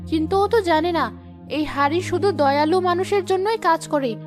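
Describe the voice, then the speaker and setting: A woman's voice: very high-pitched, moderate pace, faint music behind. A woman voicing a kind, proud, wronged young village wife in a cartoon fairy tale, earnest and dignified. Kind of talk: animated fairy-tale voice acting.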